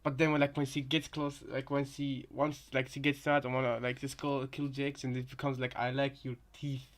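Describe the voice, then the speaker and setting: Rambling young-sounding guy, halting casual commentary. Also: medium pitch, level tone. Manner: rambling, halting, repeats phrases. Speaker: reaction video host. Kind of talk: casual commentary on game voice lines.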